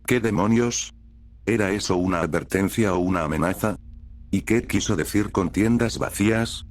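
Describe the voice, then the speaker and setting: A man's voice: low voice, moderate pace, natural voice. A man voicing an irritated, impatient camper in a horror story, indignant and questioning. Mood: confused. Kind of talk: acted dialogue in horror storytelling.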